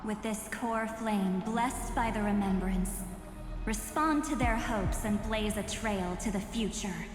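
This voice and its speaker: Lady doing stately hopeful game character, slow and earnest, echoey with music behind. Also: medium pitch, close to an everyday voice. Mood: solemn, hopeful. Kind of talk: dramatic video game dialogue. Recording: echoing reverb, music behind.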